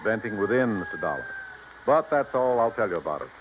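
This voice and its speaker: A man's voice: fairly low pitch, very animated, brisk. A man acting a proud, secretive, impatient dairy ranch owner in a 1950s radio drama, speaking formally and precisely. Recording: muffled noisy old radio recording.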